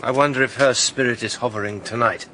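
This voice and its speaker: An actor playing a proud, stubborn British gentleman sleuth in a radio detective drama, speaking in a terse, dry, formal manner. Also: mid-range voice, fairly even intonation, a little fast, slight background noise, lightly acted, rough recording. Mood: dry, polite.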